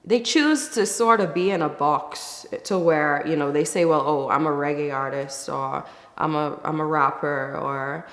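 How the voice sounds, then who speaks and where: A woman from the Bahamas giving casual, reflective interview answers in a thoughtful, candid way, with pauses as she thinks. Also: deep voice, fairly bright tone. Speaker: interview guest, musician. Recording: echoey, reverberant room.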